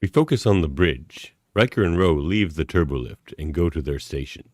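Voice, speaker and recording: A man's voice: a bass voice, animated, moderate pace, very noisy. A man reading scripted stage directions as the narrator of a radio play.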